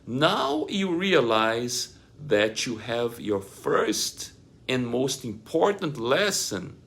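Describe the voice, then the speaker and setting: A man in his forties giving a scripted explainer straight to the viewer, deliberate and emphatic, pausing between short phrases. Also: on the low side. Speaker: presenter of divorce self-help videos. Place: indoors, small plain room.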